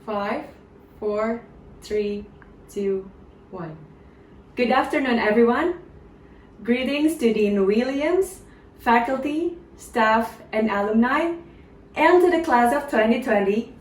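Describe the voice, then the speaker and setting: A woman's voice: a little high, lively intonation, slow, pauses often. A young woman with an Indonesian accent speaking English politely and carefully on a video call. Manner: polite and careful, with some hesitant fillers. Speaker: graduate student speaker. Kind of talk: video call and formal speech. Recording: distant, echoey video call audio.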